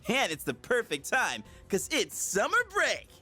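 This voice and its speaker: An actor voicing an easygoing, goofy high-school slacker in a video game, casual and exclamatory. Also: male voice, huge pitch swings, a little slow, bright, forceful tone, breathy edge, faint music behind, full character voice.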